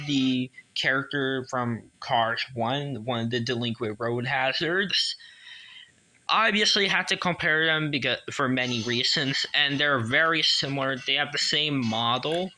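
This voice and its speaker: A man with a fairly high, very bright voice reviews a collectible toy casually. He talks slowly and haltingly, circling back over his words with enthusiasm. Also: low-quality recording.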